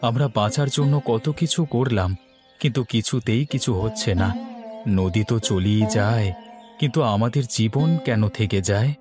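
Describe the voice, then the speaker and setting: A man's voice: fairly low pitch, normal speed, over music, natural voice. A man voicing a poor, earnest farmer in a cartoon story, speaking in a pleading, despairing way. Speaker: voice actor. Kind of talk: Bengali cartoon story acting.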